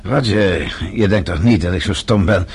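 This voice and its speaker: A man's voice: low voice, moderate pace, slightly rough, heavily acted. An actor playing a shrewd, stubborn middle-aged man with a dry, authoritative, sardonic manner in a radio play. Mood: dry, assured. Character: shrewd, self-assured father-in-law, dry and stubborn.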